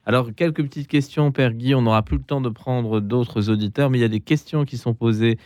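A man's voice: mid-range voice, brisk, a little mellow, dry recording. A man hosting a call-in radio show, leading the questions in a friendly way. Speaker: radio host.

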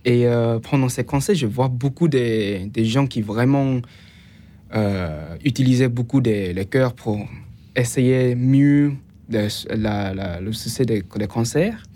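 A young man with a foreign accent, a non-native French speaker, gives halting answers as a student guest in an interview. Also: medium pitch, mellow. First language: not French, learned it after moving to France. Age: young adult.

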